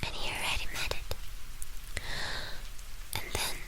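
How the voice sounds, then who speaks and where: Woman whispering an asmr bedtime story real slow, hushed short phrases, bright crisp sound. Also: low-quality recording.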